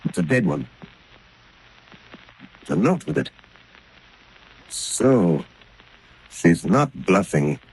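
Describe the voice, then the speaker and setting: An actor playing a calm, determined gentleman crime-fighter who speaks in a measured, steady way in the style of 1930s radio drama. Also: low-pitched, breathy edge, full character voice, low-quality recording.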